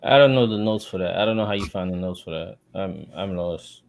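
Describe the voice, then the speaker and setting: Man, fairly low voice, easygoing podcast co-host, jokey, gives advice. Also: a little fast, vocal fry. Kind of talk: casual chat.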